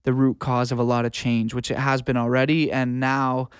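A man's voice: medium pitch, level tone, normal speed, smooth, no room sound, studio quality. A young North American man, an interview guest, talking in a casual, reflective, informal way. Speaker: interview guest, music producer and audio engineer. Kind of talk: casual chat.

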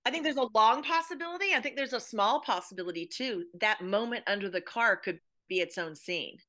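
Bright-voiced emphatic woman guest. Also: a little high, brisk. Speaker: podcast guest, playwright and drama educator. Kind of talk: conversational drama discussion.

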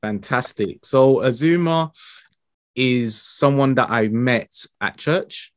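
A man's voice: medium pitch, takes it slowly, no room sound, studio quality. A man hosting a podcast, chatting casually in a friendly, rambling way with many fillers. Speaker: podcast host. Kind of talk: casual interview chat.

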